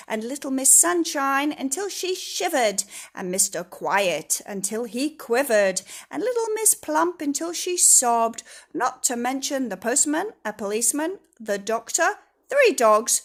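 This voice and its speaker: Slow-paced woman reading a storybook, creaky edge. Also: medium pitch, fairly bright tone. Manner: emphatic, engaging listeners with questions. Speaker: teacher reading a storybook aloud. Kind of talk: expressive children's story reading with asides.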